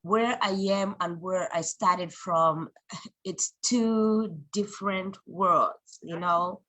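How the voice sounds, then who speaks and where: A woman's voice: on the low side, slow pace, bright, forceful tone. A woman from Zambia, a guest in an interview, telling her story in an earnest, reflective way with frequent restarts. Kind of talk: conversational interview.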